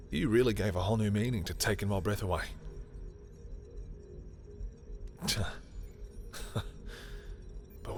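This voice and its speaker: A man playing a lovestruck, bitter villain in an intimate audio roleplay, speaking in a halting, emotional way. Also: on the low side, close to an everyday voice. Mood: vulnerable, confessing. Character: lovestruck, bitter male villain confessing to a rival.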